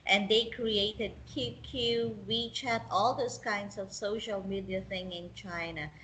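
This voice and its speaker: A woman's voice: medium pitch, fairly even intonation, slow, a bit bright, a little hiss or hum, low-quality recording. A woman interviewing a contestant in English, chatty and encouraging, often laughing. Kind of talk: interview questions, casual.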